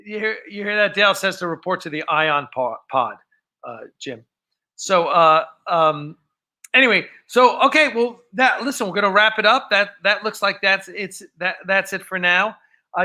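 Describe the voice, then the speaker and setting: A man with an American accent hosts a casual livestream in a high, bright voice. He talks off the cuff, rambling and halting, often letting a thought trail off before starting on the next. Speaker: host of a live fan show. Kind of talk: casual unscripted livestream chat.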